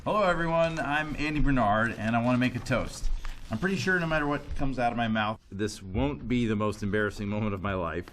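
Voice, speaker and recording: Guy acting earnest sitcom dude giving a heartfelt speech, pokes fun at himself, medium pitch. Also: fairly quick, fairly bright tone, a little hiss or hum, close to an everyday voice. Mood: self-deprecating. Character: earnest, self-mocking sitcom man making a heartfelt speech. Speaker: cast member at a table read.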